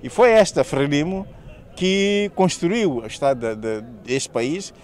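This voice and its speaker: A man's voice: fairly high pitch, expressive, measured pace. Older Mozambican man in press interview. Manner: speaking through a face mask. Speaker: party official being interviewed. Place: outdoors in a paved courtyard. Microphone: handheld reporter microphone.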